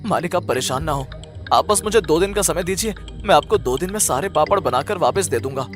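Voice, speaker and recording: A man's voice: on the high side, expressive, fairly quick, faint music behind, natural voice. A man voicing a humble, earnest poor villager in a cartoon story, respectful and easily distressed. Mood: earnest, pleading. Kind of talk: Hindi cartoon story acting.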